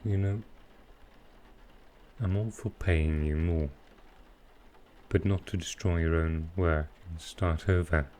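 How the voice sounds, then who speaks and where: Very deep, mellow male villain, acted out. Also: steady tone, slow pace, pauses often, a little hiss or hum. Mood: wry, resigned. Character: smooth, flirtatious male supervillain courting his tailor. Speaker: voice actor in audio roleplay. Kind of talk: intimate ASMR roleplay.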